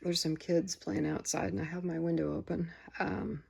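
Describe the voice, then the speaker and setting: A tired woman with a gravelly voice, rambling casually about her record haul in a home vlog. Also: lowish voice, steady tone, moderate pace, a little mellow. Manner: rambling, many fillers and restarts. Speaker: vinyl record vlogger. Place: home room with window open. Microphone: phone camera.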